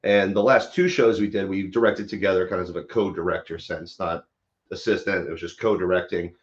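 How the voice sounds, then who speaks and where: A man's voice: deep voice, fairly even intonation, brisk, lo-fi sound. A man speaking casually as an interview guest, with loose, informal phrasing and a jokey touch. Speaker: interview guest, theater director. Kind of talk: casual live interview talk.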